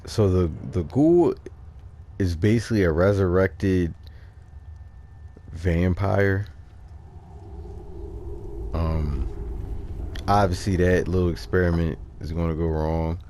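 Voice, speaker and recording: A man giving casual, off-the-cuff game walkthrough commentary, thinking aloud in a halting way. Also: deep voice, dark tone, a little hiss or hum. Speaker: gaming video host.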